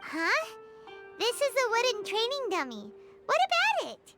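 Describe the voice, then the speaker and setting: A woman voicing a small, chirpy, childlike fairy companion with an excitable manner in a video game. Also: normal speed, heavily acted. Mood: curious, skeptical. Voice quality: squeaky, childlike. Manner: refers to self in third person, exclamatory. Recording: loud music behind the voice.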